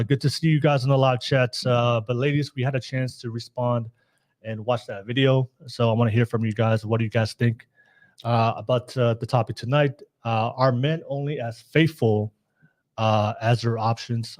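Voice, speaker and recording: A man's voice: mid-range voice, normal speed. A man hosting a casual podcast panel, moderating with lots of fillers. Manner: relaxed, filler-heavy moderating. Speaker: podcast host.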